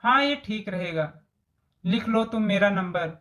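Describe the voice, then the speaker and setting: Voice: medium pitch, expressive, measured pace, pushed and bright. A voice actor playing a scheming, greedy middle-aged mother-in-law in a story cartoon, with exaggerated storybook delivery. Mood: sweet, polite. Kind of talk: Hindi moral story cartoon dialogue.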